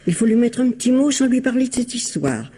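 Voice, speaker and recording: A woman's voice: a little high, big pitch swings, fairly quick, dark tone. An actress playing a scheming, falsely kind middle-aged lady in a vintage radio mystery, poised and bossy with an insinuating, motherly manner. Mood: feigned sympathy.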